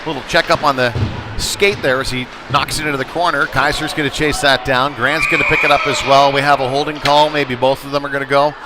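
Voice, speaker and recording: A man's voice: mid-range voice, level tone, fairly quick, noisy background. A man doing casual, conversational live play-by-play commentary of a youth hockey game from a rink, on a headset. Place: chilly ice rink.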